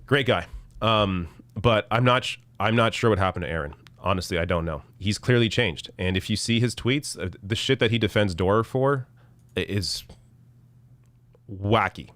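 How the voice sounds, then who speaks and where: A man in his thirties, hoarse from losing his voice, hosting a casual live political stream with blunt, profane commentary and frequent restarts. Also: on the low side, moderate pace, a bit bright, no echo. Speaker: political show host reading viewer chat.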